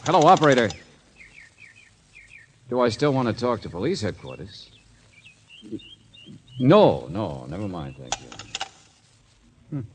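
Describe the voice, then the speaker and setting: An actor playing a wry, tough American private investigator in a 1940s radio detective drama, with slangy, clipped delivery. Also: male voice, fairly low pitch, sing-song, measured pace, long pauses. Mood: brisk, probing. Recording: noisy old radio recording.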